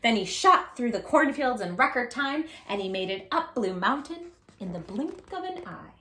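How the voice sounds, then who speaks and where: A woman hosting storytime, reading a children's picture book aloud in a gentle, expressive, affectionate way. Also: fairly high pitch, moderate pace, echoey room.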